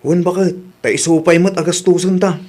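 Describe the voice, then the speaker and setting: A man's voice: sits high, fairly even intonation, brisk, a little mellow. A man playing a grumbling, money-minded village husband in a radio comedy drama, questioning and nagging.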